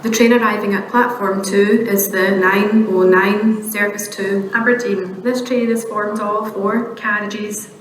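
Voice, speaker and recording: Scottish woman, automated rail announcer, flat measured delivery, heard in an echoey station. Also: medium pitch. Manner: formal, measured, segmented phrasing. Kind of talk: scripted train announcements. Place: railway station, heard over public address speakers. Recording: noisy, echoey station tannoy recording. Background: station ambience and noise.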